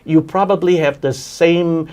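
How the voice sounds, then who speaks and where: A man in his sixties from Hong Kong, co-hosting a casual studio interview, talking in animated bursts and stammering over his restarts. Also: on the high side, a little slow. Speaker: co-host.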